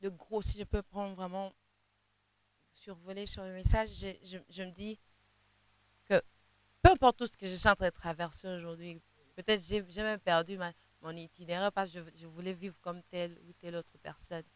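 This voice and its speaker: A young woman, a gospel singer whose first language is Kinande, answering interview questions in a halting way, often repeating words as she searches for them. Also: lowish voice, steady tone, clean tone, no room sound. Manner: sings softly in places.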